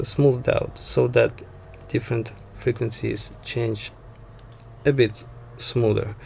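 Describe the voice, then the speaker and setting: A man narrates an informal tutorial at a slow pace. His mid-pitched voice is heavily creaky, with a lot of vocal fry. The phrasing is halting and hesitant as he explains things step by step. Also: darkish tone. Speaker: tutorial instructor.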